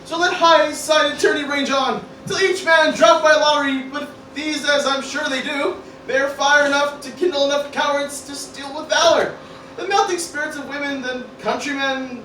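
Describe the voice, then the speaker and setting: Woman as bright, high, anxious teenage boy. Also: steady tone, normal speed, slight background noise. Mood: earnest. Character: anxious, reluctant teenage cartoon grandson. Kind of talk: mock-Shakespearean parody radio play read aloud. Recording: roomy, distant amateur group recording.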